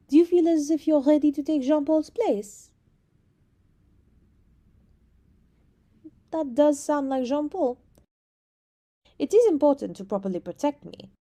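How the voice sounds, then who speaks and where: A woman's voice: on the high side, mellow. A woman voicing a playful young princess who teases and scatters French words into her speech, in an ASMR roleplay. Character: teasing young princess, playful, anxious beneath.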